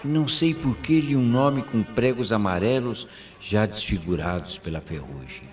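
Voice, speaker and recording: An actor playing an old country colonel with a Brazilian accent, spinning an eerie tale with folksy suspense in a radio mystery drama. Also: male voice, fairly low pitch, lively intonation, moderate pace, a little mellow, faint music behind, lightly acted. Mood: hushed, grave. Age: older.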